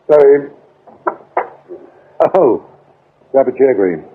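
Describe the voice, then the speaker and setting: A brisk British police inspector in a radio drama, commanding and sardonic. His pitch swings freely as he reasons aloud. The recording is thin and band-limited, with a faint layer of noise. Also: expressive, phone-quality sound. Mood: probing, impatient. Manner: commanding, dryly sarcastic, thinks aloud.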